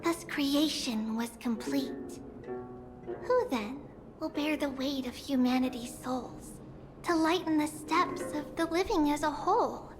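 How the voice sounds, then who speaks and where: An actress playing a graceful storyteller in a fantasy video game, speaking in a measured, lyrical, theatrical way. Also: female voice, high-pitched. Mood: solemn, lyrical. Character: graceful woman storyteller reciting an ancient myth. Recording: game music loud behind the voice.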